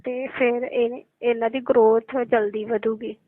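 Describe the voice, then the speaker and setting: A woman's voice: on the high side, flat delivery, measured pace, no room sound. A woman with a Punjabi accent chatting casually and intimately on a phone call. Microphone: telephone. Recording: narrowband tinny phone-call audio.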